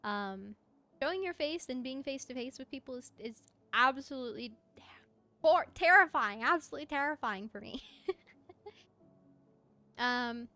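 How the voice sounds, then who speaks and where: A woman chatting casually on a livestream as she plays, answering viewers in an expressive, encouraging, effusive way with a mezzo voice. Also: high voice, moderate pace, pauses often, dry recording, faint music behind. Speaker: VTuber live streamer. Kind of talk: casual livestream chat while gaming, answering viewer comments.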